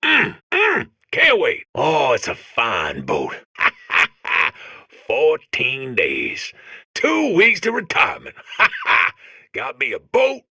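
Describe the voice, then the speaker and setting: A man's voice: fairly high pitch, huge pitch swings, sharp tone, slightly rough, no room sound, studio quality, heavily acted. A man playing a giddy, sentimental older robot worker counting down happily, folksy and gleeful, in a comedic video game. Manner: folksy, gleeful, drawn-out exclamations.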